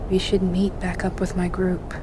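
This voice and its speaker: An actress playing a steady female sergeant in a radio drama, speaking calmly and often hushed. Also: lowish voice, background noise, lightly acted. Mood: grim, hushed. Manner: calm, hushed, measured.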